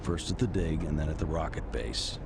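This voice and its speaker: An actor playing a sober, formal wartime intelligence officer in a video game cutscene. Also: male voice, a bass voice, lively intonation, brisk, vocal fry, very noisy, heavily acted. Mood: sober, resolute.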